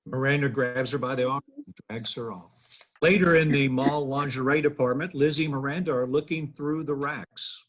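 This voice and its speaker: A man with a husky voice narrating stage directions for a table read. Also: mid-range voice, normal speed, a bit bright.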